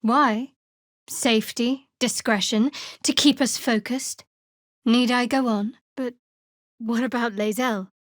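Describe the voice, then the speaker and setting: Young dark cleric gal for a video game, guarded n wry, kinda high voice, super dry studio sound. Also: female voice, steady tone, takes it slowly, no echo. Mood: guarded, composed.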